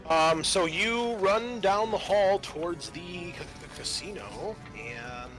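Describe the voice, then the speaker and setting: Bright-voiced man running a tabletop game. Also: sits high, lively intonation, faint music behind. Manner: halting, self-correcting delivery. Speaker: game master. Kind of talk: narrating a tabletop roleplaying game.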